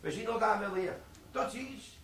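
A man's voice: sits high, moderate pace, fairly bright tone, reverberant, a little hiss or hum, poor audio. A man playing a sly old army sergeant. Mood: boastful. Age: 60s. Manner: sly, stammering, chuckling. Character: older army sergeant, sly, boastful schemer. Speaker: actor in radio play. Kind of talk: radio play acting.

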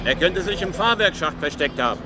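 An actor playing a brisk male police officer in a radio drama, curt and official. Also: sits high, animated, very noisy.

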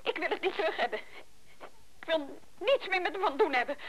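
A woman's voice: very high-pitched, animated, a little fast, poor audio. A woman playing a proud, wounded mistress in a radio play, scornful, curt and emphatic. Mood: scornful, hurt.

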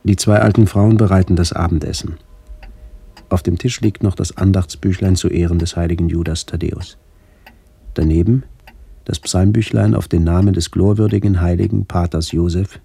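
An actor playing a reflective middle-aged man narrating a literary radio play in a measured, contemplative way. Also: low voice, fairly even intonation, vocal fry, warm tone, husky, no echo, exaggerated voice. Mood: pensive. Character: reflective man visiting his ageing family.

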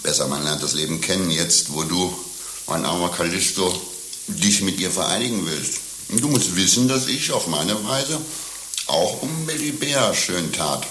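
A coarse, cynical pimp in a satirical radio play. His voice is very low and forceful, sly and boastful, with knowing pauses. The room rings a little and a faint hiss lies underneath. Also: animated, roomy sound. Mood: smug confiding. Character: cynical, coarse male pimp, bragging and mock-tender.

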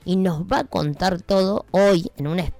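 Argentine woman host, low voice, teasing. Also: steady tone, normal speed, gravelly, a little mellow, dry recording, soft background music. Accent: Rioplatense Spanish. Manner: teasing, laughs often. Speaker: radio host. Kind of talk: playful radio banter.

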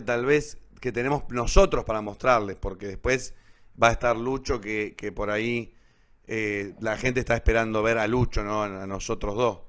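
An Argentine man hosting a live online show casually, in a rambling, halting way that often corrects itself. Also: mid-range voice, fairly bright tone. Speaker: host of an online radio theatre show.

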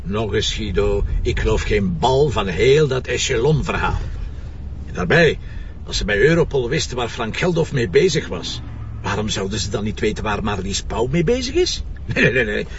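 An actor playing a blunt, sardonic and stubborn police commissioner with a Flemish accent in a crime drama. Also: male voice, lowish voice, big pitch swings, normal speed. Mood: stubborn, exasperated. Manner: blunt, sarcastic, insistent. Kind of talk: broadcast crime drama acting. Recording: dull sound with background hum.

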